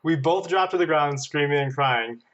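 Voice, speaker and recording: A man's voice: a little high, normal speed, fairly bright tone, dull audio. A man reading listener stories aloud in casual banter, with a playful, amused storytelling manner. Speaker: podcast guest and editor.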